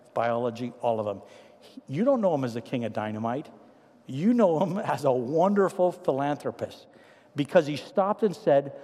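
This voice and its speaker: A man with a Trinidadian accent, a pastor preaching a sermon with humorous stories that give way to quiet, earnest appeals. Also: mid-range voice. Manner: animated anecdotes, then quiet earnest appeals. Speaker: pastor to seniors. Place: church sanctuary. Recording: long hall reverb.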